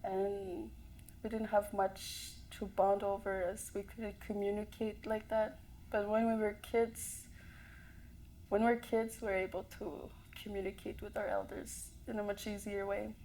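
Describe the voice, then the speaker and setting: A young woman from a Cree community speaks softly and thoughtfully, pausing between phrases. Her pitch stays flat and level, with little rise or fall. A faint hum of ambience sits behind her. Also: low-quality recording. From Cree community in northern Quebec. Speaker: community member sharing a story. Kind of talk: reflective personal testimony.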